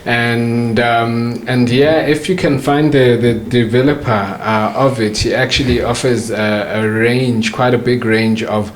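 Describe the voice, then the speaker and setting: A man's voice: medium pitch, steady tone, slight background noise. A man who trades for a living, talking in a casual podcast conversation and stopping often to restart his sentences. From South Africa. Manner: halting, many restarts and fillers. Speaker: forex trader.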